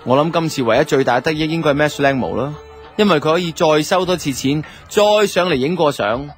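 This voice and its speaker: A man's voice: a little high, fairly quick, natural voice. An actor with a Hong Kong Cantonese accent playing a wry, aggrieved office worker, telling his story in colloquial radio drama narration. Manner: wry, grumbling, conversational. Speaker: narrator and lead character of a radio drama series. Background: background music.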